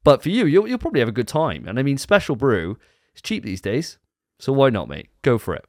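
Lively, teasing man hosting a podcast. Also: medium pitch, fairly quick, dry recording, studio quality. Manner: teasing, jokey. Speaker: podcast host. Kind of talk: casual podcast banter.

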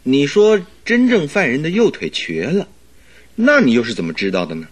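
An actor playing a detective's good-natured, curious companion in a radio drama, with a husky voice and a hesitant, questioning manner. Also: male voice, a little high, huge pitch swings, normal speed. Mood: curious, puzzled. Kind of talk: Chinese radio drama acting.

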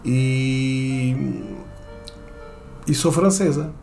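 A man from Portugal with a European Portuguese accent, telling a personal anecdote in a halting way, with many fillers and restarts. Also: medium pitch, steady tone, gravelly, a little mellow, faint music behind.

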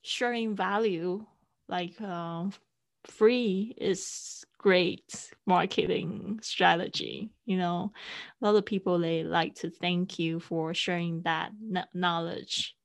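Slow, gravelly-voiced woman, Mandarin native. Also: on the low side, fairly even intonation. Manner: unhurried, with frequent fillers and restarts. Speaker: guest, online teacher and podcaster. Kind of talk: casual interview conversation.

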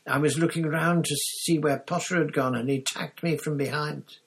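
A man's voice: on the high side, fairly even intonation, moderate pace, vocal fry, husky, pitched above the performer's own voice. A man voicing a dazed young foreign student champion, muttering in an aggrieved way in a storybook reading.

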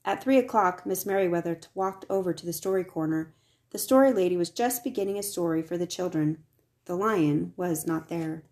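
Low-pitched woman reading a children's storybook. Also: fairly quick. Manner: expressive, acts out the dialogue. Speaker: storybook reader. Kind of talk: scripted narration, reading a children's picture book aloud.

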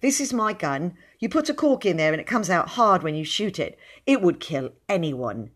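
A woman's voice: lowish voice, very animated, moderate pace. A woman reading aloud voices a boastful young boy who tells tall tales in breathless run-on sentences. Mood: proud. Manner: bragging, breathless run-on storytelling.

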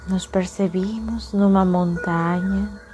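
A woman leading a guided meditation in a soothing, unhurried manner, with long pauses between phrases. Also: medium pitch, steady tone, warm tone. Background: music.

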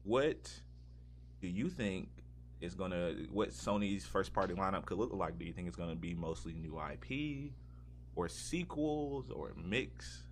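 A man's voice: low-pitched, expressive, a little slow, a little hiss or hum. A man hosting a casual gaming podcast, talking in a rambling way as he thinks aloud. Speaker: podcast host.

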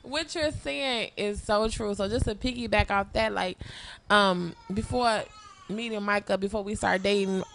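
Casting: female guest, mid thirties, medium pitch, casual talker on a slightly noisy recording. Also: normal speed, gravelly, fairly bright tone. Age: 30s. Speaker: guest on a couples discussion. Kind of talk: casual chat.

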